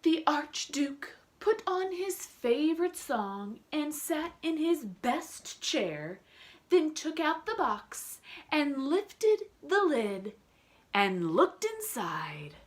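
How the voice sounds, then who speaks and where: Slow, highly animated woman reading a storybook. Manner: animated, dramatic emphasis, speaking directly to young listeners. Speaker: teacher reading a picture book aloud. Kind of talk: expressive children's story reading with casual commentary.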